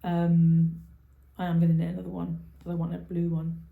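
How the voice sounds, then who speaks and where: A woman's voice: lowish voice, monotone, normal speed, creaky, phone-quality sound. Chatty giggly woman, echoey room. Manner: chatty, giggly, trails off and restarts. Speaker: podcast co-host. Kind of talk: casual chat. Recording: roomy echo.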